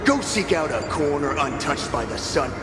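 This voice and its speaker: A man's voice: a little high, sing-song, normal speed. A man playing a haughty sun-worshipping zealot in a fantasy video game, declaiming with scorn. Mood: scornful. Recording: echoing hall reverb, music behind.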